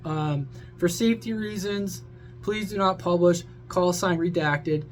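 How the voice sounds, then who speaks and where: A man's voice: high-pitched, a little slow, faint music behind. A man talking to viewers in a casual, opinionated rant, blunt, sweary and rambling, like a hobby radio vlogger.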